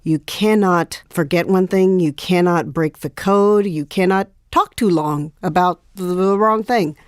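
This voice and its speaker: Slow talking woman, lowish voice, deliberate and emphatic, interview guest. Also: clear, resonant tone, no echo, studio quality. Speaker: guest actor. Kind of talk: reflective interview talk.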